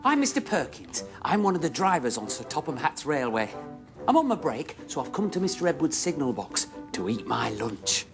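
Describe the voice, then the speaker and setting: An actor playing a friendly middle-aged railway driver, chatting theatrically to children. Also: male voice, on the high side, very animated, normal speed, vocal fry, over music. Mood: cheerful, chatty. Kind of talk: children's presenting.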